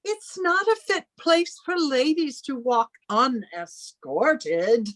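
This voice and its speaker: A woman's voice: high voice, lively intonation, takes it slowly, a bit bright, dry recording, full character voice. A woman playing a graying, commanding, possessive elder spinster sister in a radio drama, stern and formal in manner. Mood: reproachful, indignant. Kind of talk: old time radio drama acting.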